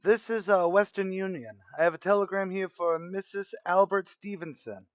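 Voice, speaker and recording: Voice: mid-range voice, fairly even intonation, moderate pace, no echo, close to an everyday voice. An actor as a dry-sounding, businesslike telegram clerk. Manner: formal, matter-of-fact recital. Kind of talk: retro radio drama acting.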